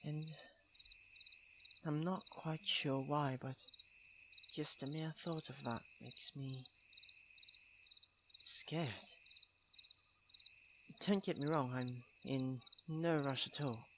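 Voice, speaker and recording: A man's voice: medium pitch, fairly even intonation, slow pace, pauses often. Man acting a formal young prince, crickets behind. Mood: vulnerable, hesitant. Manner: formal, princely, precise wording. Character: young tsundere prince, haughty yet tender-hearted childhood friend. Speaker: roleplay voice actor. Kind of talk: ASMR roleplay acting, intimate monologue to the listener. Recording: clear ambient noise with chirping crickets. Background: night crickets and insects.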